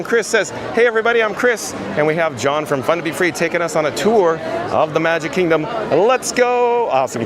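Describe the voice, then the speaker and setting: Hoarse man live-streaming in a crowd. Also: on the high side. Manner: upbeat, greets viewers by name, reads chat aloud. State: hoarse, raspy voice. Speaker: livestream host. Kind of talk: live vlog reading out chat. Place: outdoors at a busy theme park street at dusk. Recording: crowd and street noise behind. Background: crowd chatter and outdoor noise.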